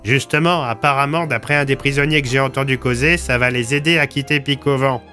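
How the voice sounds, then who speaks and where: A man's voice: mid-range voice, fairly even intonation, fairly quick, sharp tone, faint music behind, voice pushed up, lightly acted. A man playing a blunt, slangy small-town police commissioner recounting his survival in an audio story. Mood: blunt, matter-of-fact.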